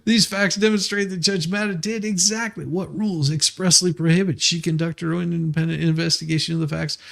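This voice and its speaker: Man putting on a pompous, indignant lawyer, pitched up. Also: steady tone, brisk, vocal fry, a bit bright. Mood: pompous indignation. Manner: theatrical, declamatory, overblown. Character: pompous, indignant male lawyer declaiming a legal filing.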